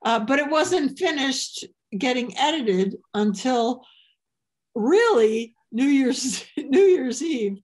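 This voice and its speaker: A woman comedian talking as an interview guest in a playful, halting way, with many restarts. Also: sits high, animated, darkish tone. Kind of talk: casual interview chat.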